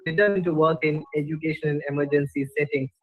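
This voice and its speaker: A man's voice: on the high side, fairly even intonation, fast talker. A middle-aged man, giving a prepared testimonial as an education professional, on a narrow phone-like recording. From India. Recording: tinny phone-like sound.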